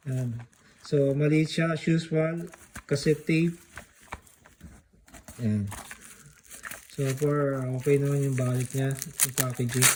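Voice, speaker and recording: A man's voice: medium pitch, steady tone, takes it slowly, long pauses, noisy background. A Filipino man talking casually in a laid-back way as a collector doing an unboxing vlog, switching between two languages. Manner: laid-back, mixes Tagalog and English. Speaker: music collector vlogger.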